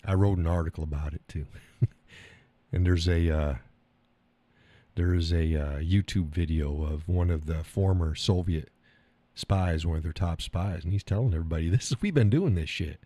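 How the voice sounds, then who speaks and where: A blunt, profane man hosts a live stream, his voice dark and mellow, set a little low. He hammers points home by repeating himself and reads out viewer comments. The sound is close and dry. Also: fairly even intonation, normal speed, pauses often, no echo. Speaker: host of a live stream show.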